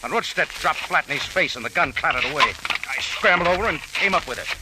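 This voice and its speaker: A man's voice: a little high, lively intonation, fast talker, natural voice. An actor playing a tough, wry American adventurer who narrates his own story in clipped slang in an old radio thriller. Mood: tense narration. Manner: clipped, slangy, hard-boiled. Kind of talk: 1940s-50s radio drama acting. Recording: hissy, crackly old radio recording.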